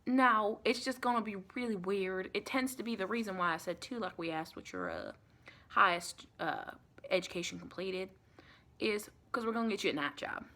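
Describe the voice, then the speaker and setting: Friendly female vampire mentor, acted by a woman, ASMR roleplay. Bright-toned, soft-spoken, folksy, colloquial and reassuring. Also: medium pitch, normal speed. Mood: chatty, reassuring. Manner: chatty, reassuring, colloquial, rambling. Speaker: ASMR performer.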